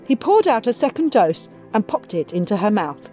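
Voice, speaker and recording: A woman narrating a children's audiobook as an expressive storyteller. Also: mid-range voice, normal speed, dull audio. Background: music.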